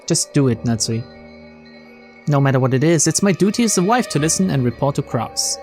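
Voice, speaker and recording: A man reading a visual novel aloud as narrator, in an expressive, dramatic storytelling style. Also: on the high side, a little fast. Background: faint game music.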